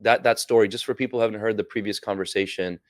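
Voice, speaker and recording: A man hosting an interview podcast, reacting briefly while the guest speaks and then asking measured questions. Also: lowish voice, fairly even intonation, no echo, studio quality. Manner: brief encouraging backchannels. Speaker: podcast host.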